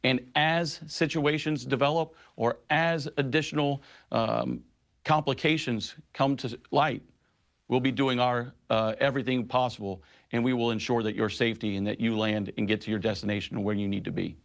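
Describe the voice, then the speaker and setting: Man, middle-aged, union president, emphatic, passionate, mid-pitched voice. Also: moderate pace, creaky. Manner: impassioned, emphatic advocacy. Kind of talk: news interview guest.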